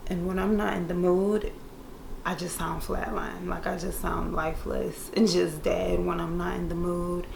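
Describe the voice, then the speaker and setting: A young woman doing a makeup tutorial in casual chat, laid-back and monotone by her own account, with playful slangy asides to viewers. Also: lowish voice, a little slow, vocal fry, reverberant, noisy background. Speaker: beauty vlogger. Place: room indoors. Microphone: camera mic.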